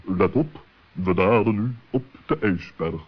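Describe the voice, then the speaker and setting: An actor playing a practical, plain-speaking male owl in a children's radio play, with a husky voice. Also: on the low side, lively intonation, unhurried. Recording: dull old radio play recording.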